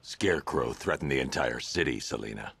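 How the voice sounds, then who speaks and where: A very deep, gravelly vigilante. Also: male voice, lively intonation, a little fast, fairly bright tone, exaggerated voice. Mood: grim, dry. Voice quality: gravelly, husky. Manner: terse, grim. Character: stern, brooding masked male vigilante hero. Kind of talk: video game character acting.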